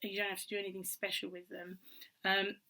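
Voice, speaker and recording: A woman vlogger, slightly hoarse from a cold, chatting casually to camera with many ums and restarts. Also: mid-range voice, monotone, brisk, sharp tone. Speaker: vlogger and author.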